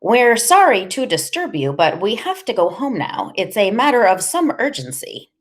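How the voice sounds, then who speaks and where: A woman's voice: mid-range voice, big pitch swings, normal speed, pitched above the performer's own voice, natural voice. A woman voicing a small, brainy boy in an audiobook story, nervous, hesitant and formally polite.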